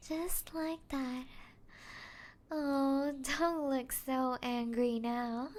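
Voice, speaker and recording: Woman acting a slow, high-voiced seductive vampire woman. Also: level tone. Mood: teasing, amused. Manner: breathy teasing, often dropping into whispers, soft laughs. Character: seductive, teasing female vampire toying with a hunter. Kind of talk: ASMR roleplay, intimate scripted acting.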